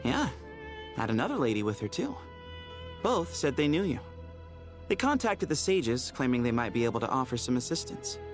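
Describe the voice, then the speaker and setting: A man's voice: mid-range voice, sing-song, normal speed, pauses often, faint music behind, natural voice. A man playing an earnest, articulate young scholar who explains things in a video game. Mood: amused, friendly.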